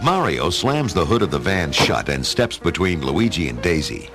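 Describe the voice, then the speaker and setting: A man's voice: low-pitched, very animated, moderate pace, over music. A man reading scripted narration for a dramatic audio story.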